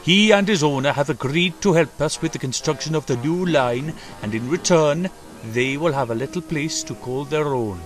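A man's voice: a little high, expressive, normal speed, faint music behind, pitched above the performer's own voice, close to an everyday voice. A man voicing a proud, assuring railway controller in a children's storybook, making formal, authoritative speeches.

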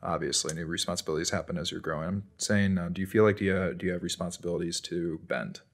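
A man's voice: low-pitched, quick pace. A young man hosting a podcast interview, conversational, prodding his guest with follow-up questions. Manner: conversational, pushes back, frequent "mm-hmm". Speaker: podcast host. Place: small podcast studio. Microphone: desk microphone.

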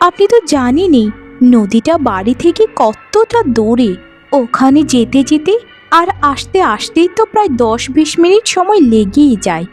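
A woman's voice: high voice, darkish tone. A woman voicing a meek, dutiful young village daughter-in-law in a cartoon, earnest and deferential. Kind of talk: cartoon voice acting. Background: music.

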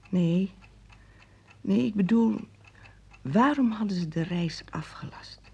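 An actress in a radio play playing a puzzled wife, intimate and gently questioning. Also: female voice, mid-range voice, slow, gravelly, slightly rough, dull audio, heavily acted. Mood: puzzled, insistent. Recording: noisy old radio play recording.

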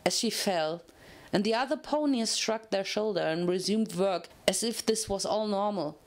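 A woman's voice: mid-range voice, moderate pace. Woman narrating a dramatic story.

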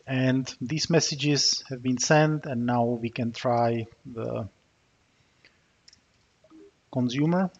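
A Czech man talks through a terminal demo at a mid pitch, stopping often with small fillers as he types commands. The recording is a bit dull and muffled, with keyboard clicks under his voice. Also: takes it slowly, long pauses, breathy edge. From Czech Republic. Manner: narrates while typing, frequent uh fillers. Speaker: software developer demoing a tool. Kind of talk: screencast tutorial walkthrough.

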